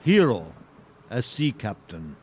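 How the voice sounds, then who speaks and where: An actor as the solemn narrator of an audio play, delivering scripted narration in a portentous, theatrical way. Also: male voice, on the low side, very animated, slow, a little hiss or hum. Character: solemn storyteller introducing and presiding over the play.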